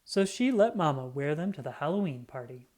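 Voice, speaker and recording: A woman narrating a children's book in an expressive, scripted read-aloud style, acting out the dialogue. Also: deep voice, a little fast.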